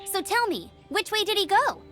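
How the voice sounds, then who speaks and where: A woman voicing an energetic, confident young gliding instructor in a video game, upbeat and encouraging. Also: very high voice, normal speed. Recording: music behind the voice.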